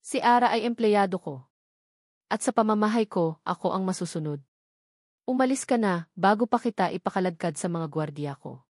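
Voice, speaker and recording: A woman's voice: medium pitch, animated, normal speed, darkish tone, no echo, close to an everyday voice. A cold, commanding employer in a narrated drama, read by a woman storyteller. He speaks with firm authority, in a mid-range voice recorded close and dry. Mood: stern. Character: stern wealthy man, commanding boss.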